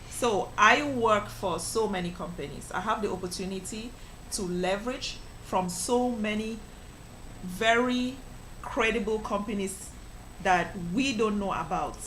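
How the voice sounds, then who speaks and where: A woman's voice: mid-range voice, a little slow, a bit bright, rough recording. Woman from cameroon, earnest explainer, lots of fillers, faint background noise. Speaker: financial professional guest. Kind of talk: interview answers.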